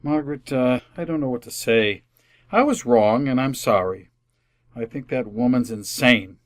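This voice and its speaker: A man's voice: medium pitch, expressive, normal speed, lightly acted. A man playing an exasperated, wordy family father in an old-time radio comedy, formal and sarcastic. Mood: rueful sarcasm.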